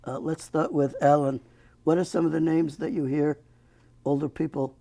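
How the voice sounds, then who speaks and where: Older male talk host, gravelly and warm-toned, folksy, jokey. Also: on the high side, steady tone, normal speed. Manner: folksy, joking asides. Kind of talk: local access TV talk show.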